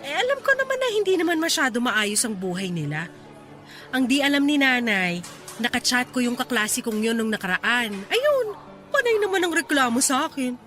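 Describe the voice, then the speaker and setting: A woman with a Filipino accent playing a hardworking, playful young woman in a radio drama, teasing and expressive as she chats. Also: high-pitched, moderate pace, faint music behind. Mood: chatty, cheerful.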